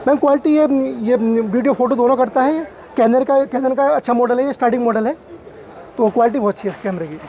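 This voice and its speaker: A man in his thirties pitching camera gear as a salesman, listing products and prices in a quick, repetitive way. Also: dark tone. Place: busy indoor exhibition hall.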